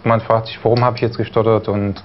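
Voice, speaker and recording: Lowish-voiced guy on a talk show, stutters sometimes, lots of ums, dull broadcast sound. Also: little pitch movement, fast. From East Germany. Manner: stutters at times, hesitant with many fillers. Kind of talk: studio interview. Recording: dull broadcast audio with faint noise.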